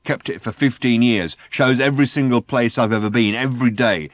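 Man acting a loud, ranting, aggrieved lorry driver. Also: mid-range voice, a little fast, no room sound, pitched above the performer's own voice, natural voice. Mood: snarling, insistent. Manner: emphatic, indignant, darkly insistent. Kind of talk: audiobook character voice.

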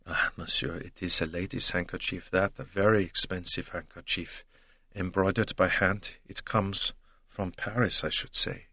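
A man voicing a timid, motherly middle-aged German maid who answers in a hushed, deferential, hesitant way in an audiobook. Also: on the low side, fairly even intonation, slow pace, pauses often, no room sound. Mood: subdued.

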